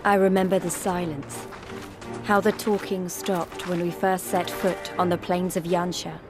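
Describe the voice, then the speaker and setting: Actress as serious war-worn lady narrating a game, reflective and measured, loud music behind. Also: fairly low pitch, flat delivery, natural voice. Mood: somber, remembering. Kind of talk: scripted video game narration. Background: prominent music.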